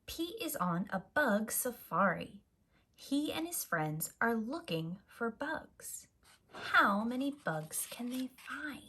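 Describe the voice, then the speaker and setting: A woman reading a picture book aloud to young children in an expressive, deliberate manner as a storyteller. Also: a little high, slightly rough. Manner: slow, expressive, simple deliberate phrasing. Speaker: storyteller, preschool teacher.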